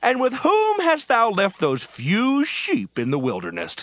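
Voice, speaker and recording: A man's voice: extremely high pitch, huge pitch swings, unhurried, a bit bright, dry recording, full character voice. A man playing an angry eldest brother in a dramatized Bible reading, sneering and accusing. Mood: angry, scornful. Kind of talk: dramatized audio Bible acting.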